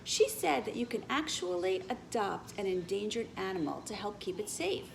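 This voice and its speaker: A woman's voice: mid-range voice, animated, normal speed, vocal fry, slight background noise, low-quality recording. A woman voicing an earnest, knowledgeable young schoolboy in a children's storybook, speaking matter-of-factly. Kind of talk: children's storybook read-aloud.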